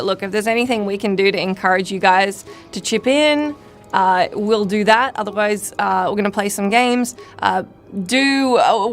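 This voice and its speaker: A woman hosting a game livestream in casual chat, with a wry, teasing way of talking. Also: mid-range voice. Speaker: livestream host and game player. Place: live stream gaming setup.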